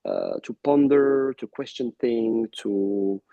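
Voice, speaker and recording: A man from Belgium who first spoke Arabic, a guest in a casual interview, talking in a warm, reflective way with many restarts and fillers. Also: mid-range voice, wildly swooping pitch, slow pace, gravelly, no echo, lo-fi sound.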